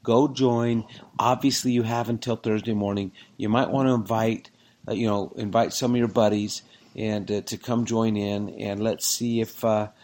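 A man's voice: on the low side, steady tone, normal speed, darkish tone. A man co-hosting a casual sports podcast, rambling and joking, getting worked up over team records. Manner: rambling, jokey, gets worked up over stats. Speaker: podcast co-host.

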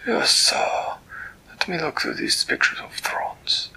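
A man voicing an excitable furry male creature king who is fussy about crowns, with high, hesitant, drawn-out exclamations. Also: whispered, poor audio.

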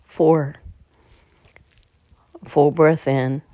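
Monotone meditation guide, dull dry recording. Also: medium pitch, no room sound. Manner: slow, measured, instructive delivery with pauses.

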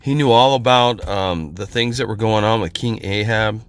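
A middle-aged man from Central California, hoarse from a head cold, giving an informal podcast sermon in a halting way with many pauses and fillers. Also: lowish voice. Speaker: podcast minister.

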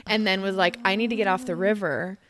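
A woman co-hosting a podcast in casual chat, talking fast with lots of fillers and frequent laughter. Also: medium pitch, fairly even intonation, fairly bright tone. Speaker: podcast co-host.

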